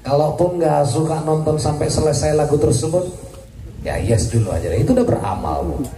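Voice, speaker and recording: A man, a military officer, giving a joking, lively speech at a community gathering. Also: a little high, fairly quick. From Indonesia. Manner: jokey and lively, laughs at his own jokes. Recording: echoey, distant, crowd noise.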